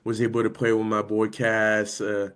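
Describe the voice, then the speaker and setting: Young guest, native French speaker, low and level, relaxed and unpolished. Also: male voice, moderate pace, smooth. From France. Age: young adult. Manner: easygoing, many "I mean" fillers. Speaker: guest, college linebacker. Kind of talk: casual interview.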